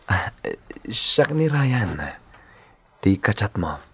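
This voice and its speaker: Husky, bashful young Ilocano man. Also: on the low side, very animated, takes it slowly, exaggerated voice. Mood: shy, nervous. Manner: bashful, hesitant. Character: Ryan, shy lovesick young male engineer. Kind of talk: Ilocano radio drama acting.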